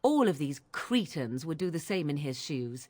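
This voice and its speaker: Haughty, swooping cynic. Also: female voice, deep voice, very animated, moderate pace, darkish tone. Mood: disdainful. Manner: disdainful, archaic phrasing. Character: cynical, haughty woman companion, coldly unsympathetic. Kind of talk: video game voice acting.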